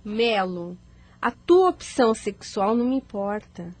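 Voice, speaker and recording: A woman's voice: mid-range voice, sing-song, a little slow, darkish tone, no room sound. An actress playing a troubled, anxious pregnant woman in a radio soap opera, polite and confiding. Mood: downcast, tender.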